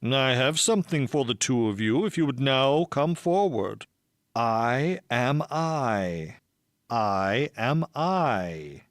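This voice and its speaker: A man's voice: medium pitch, lively intonation, takes it slowly, no echo, studio quality. A man voicing a stately old male colony patriarch with a formal, pompous manner in an audiobook. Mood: solemn, commanding. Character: Grandfather Genius, stately old colony patriarch, solemn and grandiose. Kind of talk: audiobook character voice.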